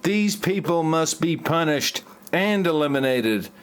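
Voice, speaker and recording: A man's voice: a little high, animated, unhurried, very noisy. A man playing an earnest, self-important novelist and hacker in an amateur parody audio play. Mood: righteous.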